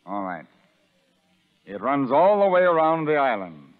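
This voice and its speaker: Cruel prison sergeant in old radio play, swings from sneering to barking, muffled echoey sound. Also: male voice, medium pitch, very animated, measured pace. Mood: gloating, taunting. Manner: menacing, taunting, barking orders. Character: sadistic, vengeful male prison sergeant bullying new convicts. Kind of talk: 1930s radio drama acting. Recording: narrow muffled 1930s radio broadcast, reverberant.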